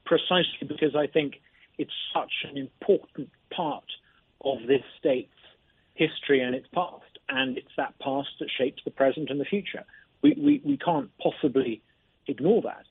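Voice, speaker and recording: Male guest, husky, slightly higher voice, tinny phone line, measured with frequent restarts. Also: expressive, no echo. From not from Mississippi. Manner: measured, repeats words when starting sentences. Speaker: interview guest, state lawmaker. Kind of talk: phone interview.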